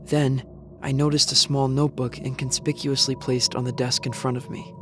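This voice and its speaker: An actor playing a sombre young male survivor, narrating his story in a measured, serious way for an audio drama. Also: mid-range voice, flat delivery, natural voice. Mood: brooding. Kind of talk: scripted first-person drama narration. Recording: music bed behind narration.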